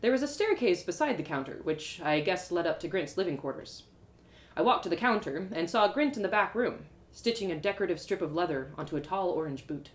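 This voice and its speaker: A woman reading a story aloud live as its storyteller, with expressive, dramatic and lyrical phrasing. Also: lowish voice, a little fast. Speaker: author and storyteller.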